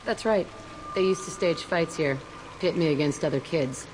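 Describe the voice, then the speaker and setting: A woman's voice: low-pitched, moderate pace, background noise, close to an everyday voice. An actress playing a tough, bitter young woman who talks bluntly and swears freely. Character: tough, profane young woman, a former test subject. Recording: reverberant with loud game music.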